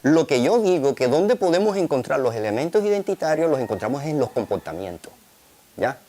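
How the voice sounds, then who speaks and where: A man's voice: on the high side, very animated, fairly quick, warm tone, slight background noise. A man from Colombia's Caribbean coast with a coastal accent, talking in a documentary interview, full of fillers and tag questions. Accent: Colombian Caribbean Spanish.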